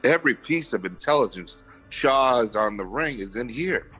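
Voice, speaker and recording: A man's voice: medium pitch, expressive, normal speed, pushed and bright, slightly rough, highs cut off, faint music behind. A man playing a confident, menacing enemy operative in a script table read.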